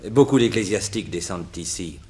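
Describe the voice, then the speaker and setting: A man's voice: low-pitched, animated, fairly quick, a little mellow. An actor playing a reassuring, unctuous clergyman in a 1960s French radio mystery, speaking in a courteous, formal way.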